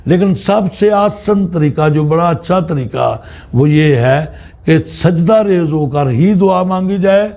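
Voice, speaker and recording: A man with a Pakistani accent giving a religious teaching talk as a spiritual guide, in deliberate, halting phrases with pauses. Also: high voice, warm tone.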